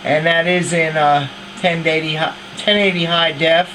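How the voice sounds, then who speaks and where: A man's voice: a little high, steady tone, normal speed. A man bantering casually and teasingly as he records CB radio chatter. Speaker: CB radio hobbyist recording a video. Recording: very noisy CB radio room recording.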